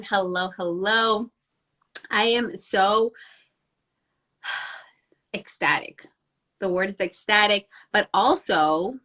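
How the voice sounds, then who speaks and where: A young woman, raspy from a cold, hosting a live stream and effusively greeting her viewers by name. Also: mid-range voice, a bit bright. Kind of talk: live-streamed motivational talk.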